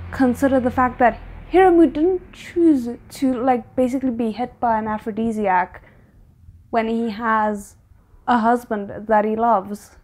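Young adult female reactor, slightly high voice, earnest and reflective, unscripted casual commentary with hesitant phrasing. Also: a little mellow. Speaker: anime reaction host. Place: small bedroom, indoors.

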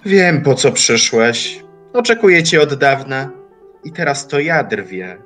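Man acting slow, mocking old watchmaker. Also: medium pitch, very animated, a bit bright, faint music behind. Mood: mocking, knowing. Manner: deliberate, formal, philosophical phrasing. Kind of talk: audio drama acting, literary dialogue.

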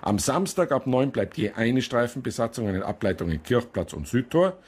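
An actor playing an officious, sardonic police chief giving a clipped briefing in a radio drama. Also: male voice, mid-range voice, big pitch swings, moderate pace, noisy background, natural voice. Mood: brisk, officious. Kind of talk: naturalistic radio drama acting.

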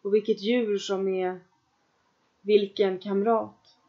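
A woman leading a guided trance meditation, giving calm, slow instructions in the second person with long pauses. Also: mid-range voice, muffled recording.

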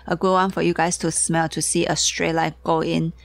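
A tired woman leads a tea tasting, halting and searching for words. Her voice sits low with a gravelly edge. The recording is dry and close, with no room sound. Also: little pitch movement, no echo. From Taiwan. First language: Taiwanese. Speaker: tea teacher.

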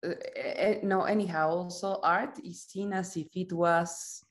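A woman's voice: fairly low pitch, fairly even intonation, measured pace. A woman in her thirties from Mexico with a Mexican accent and Spanish as her native language, speaking reflectively on a video call and often tagging statements with a questioning "no?". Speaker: psychoanalyst and author in conversation. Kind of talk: reflective conversation. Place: indoor room at home, video call. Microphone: video call microphone.

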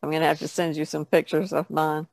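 Very creaky-voiced woman chatting casually. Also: sits low, level tone, fast talker, dry recording. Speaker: podcast guest. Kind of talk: casual chat.

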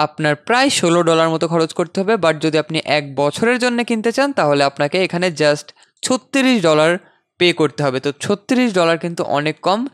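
Fast-talking man. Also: fairly high pitch, a bit bright, smooth, no room sound, clean studio sound. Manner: conversational, mixes in English terms. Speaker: tutorial host. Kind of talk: casual software tutorial screen-recording narration.

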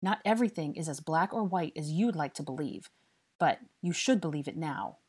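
Woman playing a stern, exasperated young male investigator, husky. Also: low-pitched, expressive, normal speed. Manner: forceful, exasperated, interrogating.

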